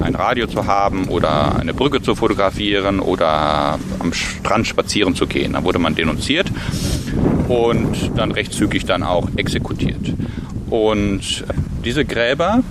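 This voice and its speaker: A man's voice: sits low, heavy background noise. A man, a professor, explaining his research in an interview, lecturing steadily and thinking aloud with fillers and restarts, recorded outdoors.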